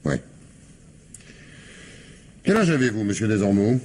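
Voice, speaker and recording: Deep-voiced, sceptical police commissioner. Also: male voice, sing-song, a little mellow, slight background noise. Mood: probing. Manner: questioning, dry, measured. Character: probing, sceptical, unhurried male police commissioner. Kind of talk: radio mystery drama acting.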